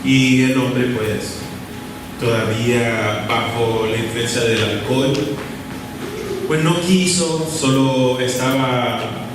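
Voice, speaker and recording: A man delivers a sermon at a slow, measured pace, circling back to repeat his key phrases. His voice comes from a distance in a large, echoing church hall. Steady room noise sits underneath. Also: medium pitch. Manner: deliberate, repeats key phrases, short pauses with fillers. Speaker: preacher. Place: church sanctuary. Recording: echoey church hall from far off, with room ambience.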